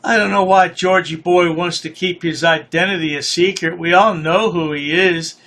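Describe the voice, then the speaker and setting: A man's voice: sits high, fairly even intonation, moderate pace, a bit bright. A man giving mocking commentary as a host, with a sarcastic, taunting manner. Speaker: host commenting on played clips.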